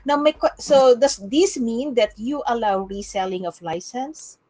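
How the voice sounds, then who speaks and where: Woman, interviewer, brisk questions, quick acknowledgments. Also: fairly high pitch, darkish tone. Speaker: interviewer, channel host. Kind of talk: live interview.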